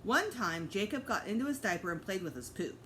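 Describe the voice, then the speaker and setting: A woman's voice: medium pitch, very animated, fairly quick, bright, forceful tone, slight background noise. A woman voicing a chatty, boastful little girl in a children's book read-aloud.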